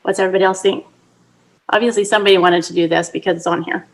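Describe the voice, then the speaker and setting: A woman mayor with a soft voice that does not carry far. She runs the council meeting in a plain, direct way, with her pitch in an ordinary middle range. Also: steady tone, very fast.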